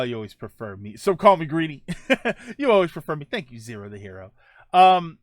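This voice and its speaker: A man's voice: on the high side, no echo, studio quality. A man hosting a casual gaming livestream, talking in a playful, rambling, conversational way as he answers viewers. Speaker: host of a gaming show and livestreamer. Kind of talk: casual livestream chat about video games, answering viewer comments.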